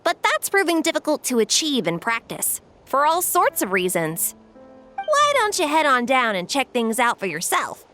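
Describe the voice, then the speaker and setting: A knowledgeable arena overseer who lays out the problem in a matter-of-fact way. Her voice is high, bright and lively. Faint game music plays under her. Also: moderate pace, full character voice. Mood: explaining. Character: brisk, knowledgeable woman overseeing the trial arena. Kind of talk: video game voice acting.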